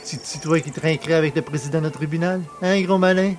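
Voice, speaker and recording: Man acting a boastful, jovial poacher, lightly high voice. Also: a little fast, soft background music, lightly acted. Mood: boastful teasing. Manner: chummy, cheeky, colloquial. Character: jovial, boastful, familiar rural poacher just freed. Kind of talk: French audio drama acting.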